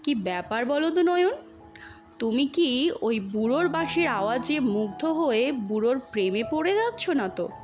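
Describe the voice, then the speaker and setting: A woman voicing a gentle, coaxing young husband who teases his new wife in an audio story. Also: sits high, sing-song, moderate pace, music in the background. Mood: teasing.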